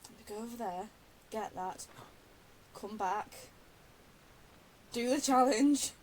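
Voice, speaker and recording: Female gamer, high voice, self-directed casual commentary, playful, unhurried delivery. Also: poor audio. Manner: talks to herself, playful. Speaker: game streamer. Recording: faint background hiss.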